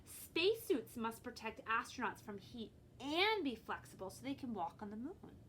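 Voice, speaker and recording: A woman librarian reads a picture book aloud to children expressively, pausing to explain things and prompt her young listeners. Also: on the high side, sing-song, a bit bright, slight background noise.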